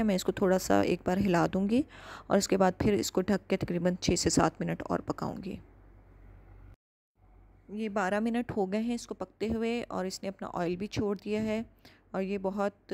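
Female cooking host, mid-pitched, calm and measured, giving clear recipe instructions. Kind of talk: step-by-step recipe voice-over.

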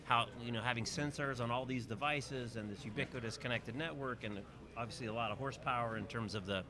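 A man's voice: medium pitch, fast talker. A middle-aged man hosting a live conference interview, upbeat and chatty, often restarting his questions. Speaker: interview host. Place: busy hotel conference hall, indoors. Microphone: handheld mic. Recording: noisy crowd in a conference hall. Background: crowd chatter.

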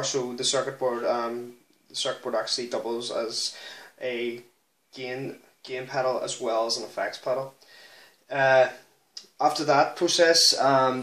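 A man giving an informal technical demonstration, explaining in a halting, hesitant way with frequent pauses. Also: medium pitch, a bit bright. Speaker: hobbyist maker demonstrating a modified guitar. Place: small indoor room at a cluttered desk. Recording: echoey room sound.

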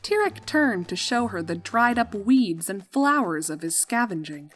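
A woman's voice: mid-range voice, sing-song, measured pace. A woman narrator reading a scripted story.

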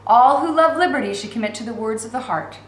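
A woman's voice: on the high side, animated, quick pace, close to an everyday voice. A woman voicing a friendly, talkative young male shopkeeper with emphatic delivery in a storybook reading. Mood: earnest. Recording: roomy echo with faint background noise.

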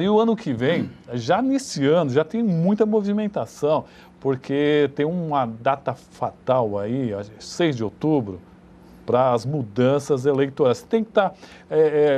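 A man's voice: on the high side, darkish tone, a little hiss or hum. A middle-aged man hosting a TV interview show in a conversational, rambling way. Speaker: TV talk show host. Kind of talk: interviewing guests in a studio. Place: small TV studio.

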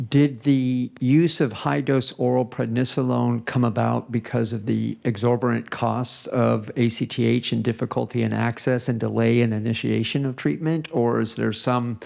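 A man with a strongly gravelly voice asks a formal follow-up question from the audience. He holds a mic close and words it with care. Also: mid-range voice, darkish tone. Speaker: audience member asking a question. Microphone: handheld microphone.

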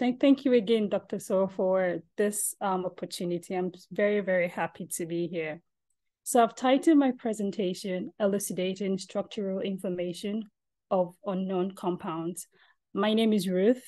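A woman's voice: medium pitch, a little mellow. A young woman from Nigeria walks listeners through a slideshow over a video call. Her delivery is friendly and conversational, with easy fillers and little asides. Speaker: PhD student presenting research. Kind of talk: informal presentation on a video call. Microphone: video call mic.

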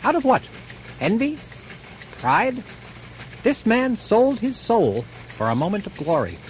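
A man's voice: sits high, very animated, unhurried, slight background noise. A man acting a solemn storyteller narrating a radio drama in a theatrical, rhetorical manner. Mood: musing, scornful.

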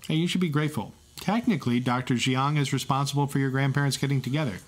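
A man's voice: medium pitch, fairly even intonation, fast talker. A man co-hosting a podcast in semi-scripted banter, playful and wry. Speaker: podcast co-host.

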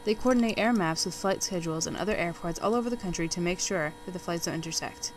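A woman's voice: lowish voice, fast talker, slight background noise. A young woman, a student narrator, reading a formal documentary script. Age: young adult. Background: music bed.

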